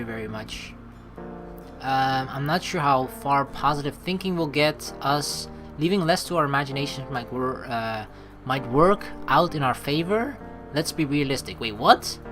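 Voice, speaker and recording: A woman doing casual let's play commentary, dramatic and sweary, reading game text aloud and improvising replies. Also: low-pitched. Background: game music.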